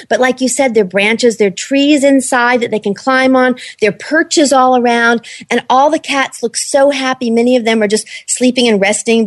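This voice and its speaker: A woman with a fairly high voice hosts a radio show about pets. She is gushing and enthusiastic. Her delivery shifts between upbeat scripted introductions and chatty interviewing. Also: no room sound. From southeastern United States. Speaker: host of a pet radio show.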